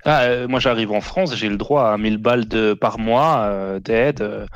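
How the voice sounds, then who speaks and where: A man's voice: lowish voice, normal speed, smooth, lo-fi sound. A man on a live-stream panel, sarcastic and mocking. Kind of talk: casual live-stream debate.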